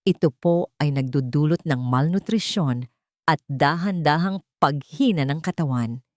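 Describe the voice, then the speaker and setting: A woman's voice: low-pitched, measured pace, a little mellow, no room sound, studio quality. A woman voicing a grave doctor in an audio drama, formal and hesitant while delivering bad news. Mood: grave, regretful.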